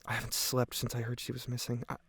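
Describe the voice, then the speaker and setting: A man's voice: mid-range voice, completely flat delivery, fast, creaky, a bit bright, slightly rough, no echo, heavily acted. Man playing teenage boy, guilt-ridden, earnest, hesitant, reflective, audio drama testimony, dry close sound. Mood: regretful, troubled. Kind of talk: audio drama monologue, police interview testimony.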